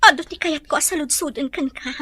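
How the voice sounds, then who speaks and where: A woman's voice: sits high, brisk, sharp tone. A woman playing an emotional young bride-to-be in an Ilocano melodrama, speaking with an Ilocano accent. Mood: emotional, pleading. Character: distressed young bride-to-be torn about her wedding. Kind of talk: Ilocano radio-style melodrama acting.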